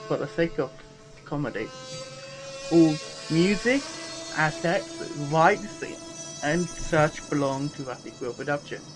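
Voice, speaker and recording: A man's voice: a little high, rough recording. A man, the podcast's creator, reading a scripted outro and disclaimer.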